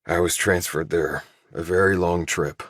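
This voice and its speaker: A man's voice: deep voice, fairly even intonation, measured pace, a bit bright, slightly rough, dry recording, clean studio sound, full character voice. A man voicing a mild, grieving middle-aged minister and father in an audiobook. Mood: plain. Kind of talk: audiobook character dialogue.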